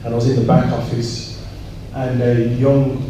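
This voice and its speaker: A man speaking earnestly and reflectively on a panel, pausing and repeating words as he makes his points. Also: mid-range voice, completely flat delivery. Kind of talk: panel discussion remarks. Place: large echoey indoor event room. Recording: very echoey room, distant mic, noisy.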